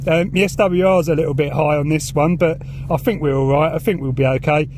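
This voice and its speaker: A man's voice: a little high, fairly even intonation, brisk, darkish tone, a little hiss or hum. A man with a southern English accent chatting casually and enthusiastically in a matey, informal way, as a hobbyist vlogger out by his car. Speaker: YouTube vlogger and radio hobbyist. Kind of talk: casual chat while demonstrating and talking on CB radio. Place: in and around a parked car outdoors.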